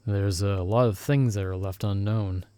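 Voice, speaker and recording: A young man voicing a loyal friend stranded in another world, who talks in a soft-spoken, gently teasing and reassuring way in an ASMR roleplay recorded close to the mic. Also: lowish voice, fairly even intonation, normal speed, smooth, dry recording, close to an everyday voice. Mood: gentle, reassuring.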